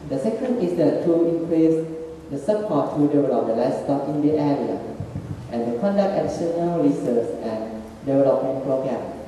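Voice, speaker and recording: Halting man presenting in an echoey hall. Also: fairly high pitch, lively intonation, mellow, slight background noise. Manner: halting non-native English, broken grammar. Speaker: student presenter. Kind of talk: slide presentation. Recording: echoey hall, far from mic.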